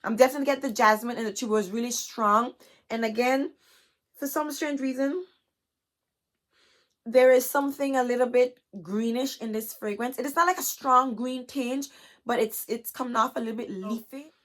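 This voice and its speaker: Hoarse, scratchy-voiced woman vlogger. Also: a little high, steady tone, normal speed, a bit bright. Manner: chatty, many "you guys" and "you know". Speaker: beauty vlogger. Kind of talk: casual haul chat to camera.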